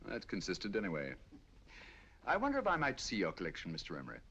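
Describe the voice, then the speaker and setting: An actor with a British accent playing a sharp, inquisitive male detective, asking crisp, probing questions in 1940s film style. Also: low voice, wildly swooping pitch, fast, heavily acted. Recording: dull old film soundtrack.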